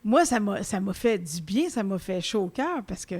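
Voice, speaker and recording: A woman hosting an interview podcast, speaking with a Québécois French accent, effusive and quick to laugh. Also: medium pitch, big pitch swings, a little mellow. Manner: effusive, laughs often, many restarts. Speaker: podcast host. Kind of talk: warm conversational interview.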